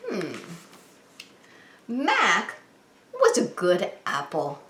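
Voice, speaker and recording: Animated, slow-paced woman teaching preschoolers. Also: medium pitch, background noise. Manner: simple, exaggerated talk to small children, with frequent questions. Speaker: preschool teacher and story time host. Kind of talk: children's read-aloud and singalong. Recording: roomy, echoey room sound.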